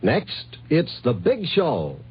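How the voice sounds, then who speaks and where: A man's voice: on the high side, wildly swooping pitch, takes it slowly, slightly rough. A man reading scripted network announcements in a 1950s radio announcing style. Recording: muffled 1950s radio recording.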